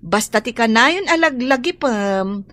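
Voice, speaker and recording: A woman's voice: on the high side, animated, measured pace, a bit bright. A woman playing an outspoken, candid character in a radio drama, with a Filipino accent. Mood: advising. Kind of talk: Ilocano radio drama acting.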